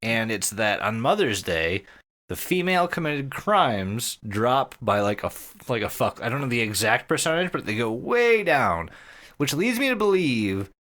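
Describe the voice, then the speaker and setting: A man's voice: mid-range voice, big pitch swings, normal speed, a bit bright. A man co-hosting a podcast with casual comic banter, joking and swearing freely. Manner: profane, joking. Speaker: podcast co-host.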